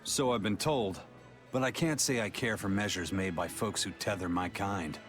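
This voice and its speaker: A man playing a stern, proud pirate in an anime-style video game, gruff, measured and serious. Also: fairly low pitch, a bit bright, soft background music, close to an everyday voice. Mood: reassuring. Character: stern, steady, proud male pirate first mate.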